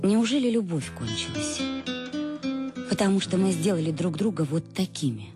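An actress playing a reflective, embittered middle-aged wife in a radio play, confessing with irony to her absent husband in a husky voice. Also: fairly low pitch, very animated, normal speed, loud music behind the voice. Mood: wistful, questioning. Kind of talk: dramatic first-person monologue in a radio play. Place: dry studio.

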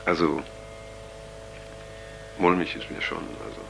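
An actor playing an earnest, uneasy young counterculture man, speaking a halting, casual taped diary in a radio drama, with many pauses. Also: sits low, level tone, vocal fry, over music, poor audio. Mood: uneasy, musing. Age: young adult. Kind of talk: radio drama acting, improvised-sounding monologue.

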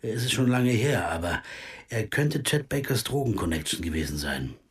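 An actor playing an insistent, searching amateur sleuth in a German radio crime drama, who also narrates. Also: male voice, lowish voice, big pitch swings, moderate pace. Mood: earnest. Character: amateur sleuth Evan Horn, American man, insistent, searching. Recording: music behind.

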